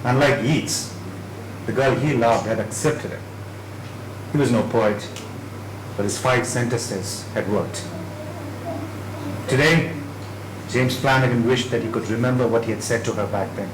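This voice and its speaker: A man reading literary fiction aloud in a dramatic narrating style, with measured phrasing. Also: mid-range voice. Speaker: reader at a dramatised book reading. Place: indoor event room at a live reading. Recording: echoey room with clear background noise.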